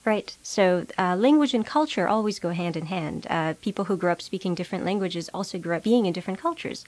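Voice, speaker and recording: A young adult woman whose native language is Russian, answering interview questions as a researcher, explaining in a measured way with many ums and uhs. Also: on the low side, animated, a little mellow. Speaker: psychology researcher.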